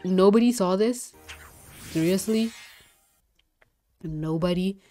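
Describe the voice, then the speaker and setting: A woman's voice: mid-range voice, takes it slowly, soft background music. Congested, gushing young woman reviewer. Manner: gushing, excitable, swears freely. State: congested, nasal. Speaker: cartoon episode reviewer. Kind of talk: casual review commentary.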